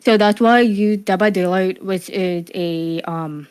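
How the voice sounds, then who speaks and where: A woman's voice: medium pitch, measured pace, a little mellow, clean tone. A young woman streamer, Cuban and living in the USA, talking casually in an interview with a speech impediment from hearing loss, full of fillers and restarts. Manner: frequent fillers and restarts, laughs easily.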